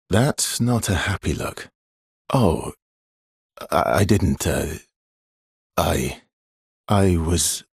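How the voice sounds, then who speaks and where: A man voicing a wry, guarded adventurer with a soft heart in a video game, gravelly and halting, with a teasing way of talking. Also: low voice, no echo, clean studio sound, full character voice. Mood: apologetic. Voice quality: husky, gravelly. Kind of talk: naturalistic game dialogue acting.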